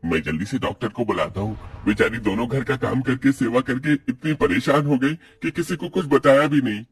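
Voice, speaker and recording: Man acting a very husky, concerned gentleman. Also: mid-range voice, moderate pace, voice pushed down. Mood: worried. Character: concerned family man and reassuring male doctor.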